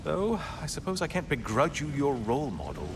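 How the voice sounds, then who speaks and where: An actor playing a sardonic, mocking god's talking head in a video game, speaking in a sassy, needling way. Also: male voice, mid-range voice, huge pitch swings, brisk, background noise. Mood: sneering. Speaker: game character. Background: game music.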